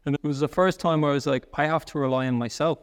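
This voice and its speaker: A man in his thirties with a soft Irish accent, talking earnestly in an interview, often restarting and using "like". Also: on the high side, fairly even intonation, long reverb tail. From Ireland. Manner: earnest, quick, with restarts and "like" fillers. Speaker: guest. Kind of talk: conversational interview.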